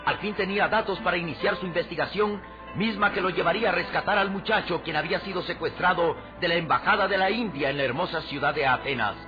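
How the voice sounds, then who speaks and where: Male narrator, raised pitch, declamatory radio serial style, muffled sound, music underneath. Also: fast talker. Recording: muffled old radio drama recording. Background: prominent music.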